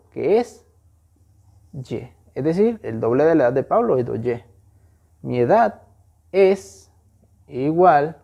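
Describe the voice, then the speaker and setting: A man's voice: medium pitch, dark tone. A man teaching a math lesson, explaining step by step, patiently repeating key points and stressing important words.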